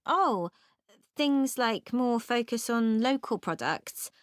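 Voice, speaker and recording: A woman playing a student in a scripted, measured discussion, giving her opinions deliberately. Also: on the high side, animated, no room sound, clean studio sound. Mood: opinionated. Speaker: voice actor in a listening exam dialogue.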